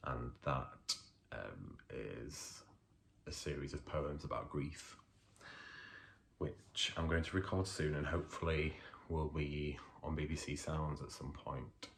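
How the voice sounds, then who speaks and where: A man with a strikingly deep, low voice introduces himself and reads his poetry. He takes his time over every phrase and speaks at a slow, unhurried pace. His introduction is halting and filled with small hesitations. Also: creaky, a little hiss or hum. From Leeds, England. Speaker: poet.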